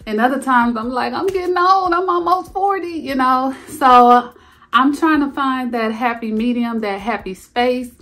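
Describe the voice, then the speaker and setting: A chatty woman in her thirties talks straight to the camera from a carpeted bedroom at home. Her voice sits a little high, and she leaps up higher still to stress a point before dropping back to an easy, playful tone. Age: 30s. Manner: chatty and animated, sudden high jumps for emphasis. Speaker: lifestyle vlogger. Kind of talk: casual chat to camera.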